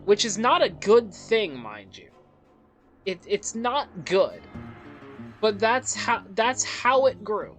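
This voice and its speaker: A let's play host reads a game's story aloud in a measured, dramatic way and adds offhand, rambling commentary. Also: medium pitch, big pitch swings, fairly bright tone. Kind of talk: reading game story aloud with casual commentary. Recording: music under the voice. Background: game music.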